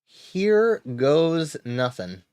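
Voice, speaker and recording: A man's voice: on the high side, very animated, drawn-out words, darkish tone, smooth, no echo. A man giving casual gameplay commentary while playing a card game, thinking aloud, chuckling and cheering his wins.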